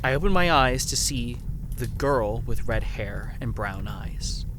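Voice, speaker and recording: A man's voice: medium pitch, animated, a little slow, background noise, natural voice. An actor playing a haunted, earnest survivor who recounts his ordeal in grave, formal, theatrical narration for a horror audio drama. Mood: tense, haunted. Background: music.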